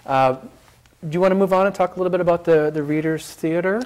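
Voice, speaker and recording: A man's voice: a little high, steady tone, quick pace, a little mellow. A middle-aged man hosting a talk show, asking questions in a casual, chatty interview style. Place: small TV studio, seated at a table.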